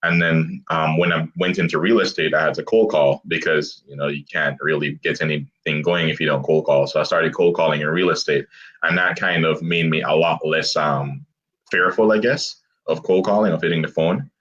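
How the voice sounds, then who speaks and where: A young man guest telling his story in a relaxed interview, with halting restarts and frequent laughter. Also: very deep voice. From abroad, grew up outside the US. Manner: laughs often, self-deprecating, halting restarts. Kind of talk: casual interview chat. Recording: dull lo-fi online call audio.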